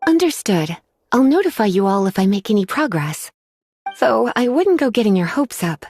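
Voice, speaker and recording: Breathy, teasing witch librarian. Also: a little high, big pitch swings, moderate pace, a little mellow, heavily acted. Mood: thoughtful. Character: languid, teasing scholarly witch librarian woman. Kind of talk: video game voice acting.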